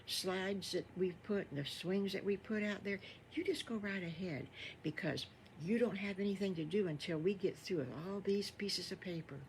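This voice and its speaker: A grandmother doing a hushed, motherly farm wife. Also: mid-range voice, normal speed, a little hiss or hum, natural voice. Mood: soothing. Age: older. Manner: hushed and gentle.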